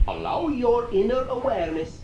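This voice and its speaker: A man voicing a channeled alien being, assured and teacherly, in deliberate halting phrases with formal, stilted wording. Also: very high voice, huge pitch swings, dark tone, muffled recording, heavily acted. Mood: instructive, encouraging. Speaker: channeler answering audience questions. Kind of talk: channeling session, question and answer. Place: echoey room, recorded from afar. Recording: echoey, noisy old cassette, distant mic.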